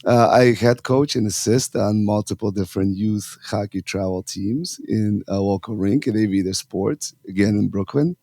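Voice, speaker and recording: Man, from Russia, thirties, low mellow voice, earnest, dry close sound. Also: dry recording. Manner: earnest, talks in long runs with fillers and restarts. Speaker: guest, youth hockey coach. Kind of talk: casual interview talk.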